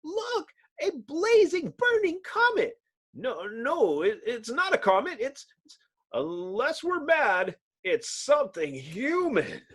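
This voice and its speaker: A panicky young man from a comic book, put on by a male reader, pitched high and swooping with amazement. He stammers and exclaims in awe. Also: huge pitch swings, takes it slowly, a bit bright, husky, heavily acted. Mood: awestruck alarm.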